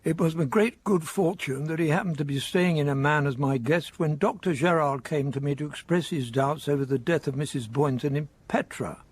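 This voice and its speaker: An actor playing a courteous, authoritative colonel in a radio drama, speaking formally and officially. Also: male voice, fairly high pitch, moderate pace, few pauses, slightly rough. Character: courteous, authoritative male colonel chairing an inquiry.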